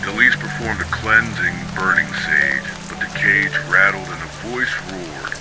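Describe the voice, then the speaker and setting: A man narrating scripted ghost stories for sleep in a measured, dramatic storytelling manner. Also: deep voice, animated, over music, rough recording.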